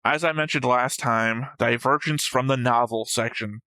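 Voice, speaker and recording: Blunt man with a bright, forceful voice. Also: medium pitch, normal speed, no echo, low-quality recording. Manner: blunt, argumentative, sweary. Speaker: reaction show co-host. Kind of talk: casual discussion of an anime episode.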